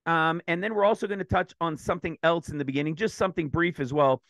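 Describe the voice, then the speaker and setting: Man, Bible teacher, high voice, dry close sound, a touch raspy today, eager, talks in loose runs with restarts. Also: fast talker, no echo. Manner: excited, rambling with restarts. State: slightly raspy throat. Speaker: ministry teacher. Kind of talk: screen-share Bible teaching.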